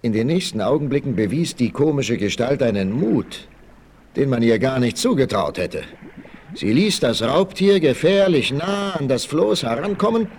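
A man's voice: mid-range voice, sing-song, moderate pace, darkish tone, breathy edge. A man narrating a scripted adventure story for a 1960s radio play. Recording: slightly noisy old vinyl record.

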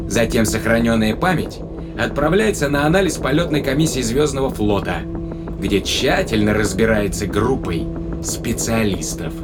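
Matter-of-fact astronaut, acted by a man, mid-pitched, measured and explanatory, sci-fi audio drama with loud music underneath. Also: animated, lightly acted. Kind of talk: science fiction audio drama acting.

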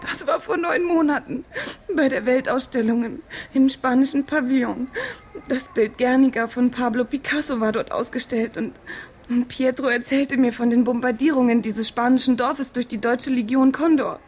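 A woman's voice: sits high, normal speed, darkish tone, a little hiss or hum, close to an everyday voice. An actress playing an anxious, trusting young woman in love in a radio drama, hesitant, earnest and tearful.

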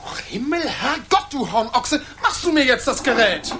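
An imperious teenage boy emperor in a radio drama. The voice is fairly high and swoops with impatience and condescension, over faint noise. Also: sing-song, normal speed. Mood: irritated. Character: haughty, impatient fifteen-year-old boy emperor. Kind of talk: German historical radio play acting.

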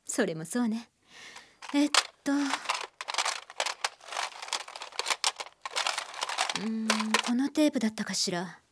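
A woman playing a composed therapist in a radio drama, measured and thoughtful. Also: a little high, lively intonation, very noisy. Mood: musing. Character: composed adult female therapist.

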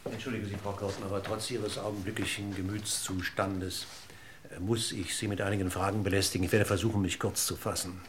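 An actor playing a middle-aged police inspector in a German radio crime drama, husky and gravelly, formally polite, deliberate and persistent in his questioning. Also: low-pitched, little pitch movement, a little hiss or hum, natural voice. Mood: politely insistent. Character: probing, courteous male police inspector, dryly persistent.

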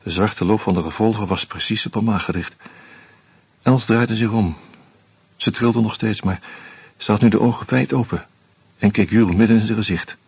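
A terse first-person narrator, deep and husky, dry. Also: male voice, moderate pace, a little mellow, no echo. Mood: steady. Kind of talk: radio drama narration.

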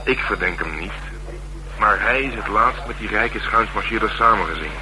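An actor playing a gruff, streetwise police detective in a Dutch radio drama, talking in a dry, wry and slangy way. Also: male voice, lowish voice, moderate pace, close to an everyday voice. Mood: insistent. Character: male police detective, gruff, streetwise, worldly, asking a favour. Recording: noisy radio drama recording.

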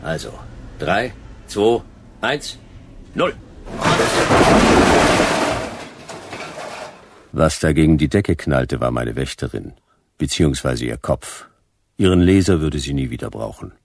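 An actor playing a dry, hardboiled private detective, laconic and deadpan, in a noir radio play. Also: male voice, extremely low pitch, measured pace, creaky, breathy edge, no room sound, heavily acted. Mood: laconic, brisk. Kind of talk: first-person noir radio play narration and dialogue.

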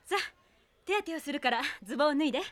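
A high, swooping female voice for a coquettish school nurse. She mixes playful authority with teasing in audio drama style. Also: expressive, very fast.

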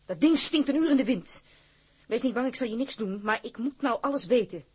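An actress playing a bold, loyal captain's wife in a Dutch period drama, blunt and defiant in manner. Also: female voice, a little high, lively intonation, brisk, slightly rough. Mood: blunt, firm.

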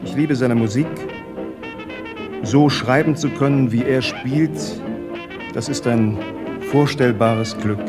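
Guy saying polished writerly lines, measured and exact, trombone-type music going behind him. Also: mid-range voice. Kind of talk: composed first-person literary statement. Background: brass jazz music, clearly heard.

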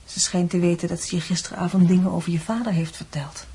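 An actress playing a middle-aged, motherly and discreet housewife in a radio drama, brisk and coaxing. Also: lowish voice, fairly even intonation, warm tone, a little hiss or hum, close to an everyday voice.

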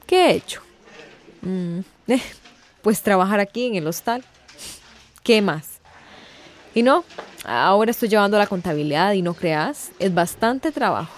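An actress playing a chatty, affectionate but evasive hostel worker in a radio drama. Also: female voice, medium pitch, expressive, a little slow, long pauses, lightly acted. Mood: warm, flustered. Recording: faint background noise.

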